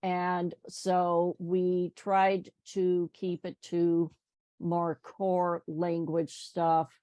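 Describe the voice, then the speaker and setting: A woman, a book co-author, talking slowly in casual conversation. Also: lowish voice, monotone, warm tone, clear, resonant tone, no room sound. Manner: slow, with frequent affirming "yeah" and "right". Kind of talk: conversational talk.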